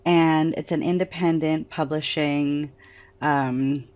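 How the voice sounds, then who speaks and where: A woman with an American accent, an author answering interview questions in a lively storytelling way and laughing often. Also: low-pitched, slow pace, lo-fi sound. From Trinidad. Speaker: author and guest.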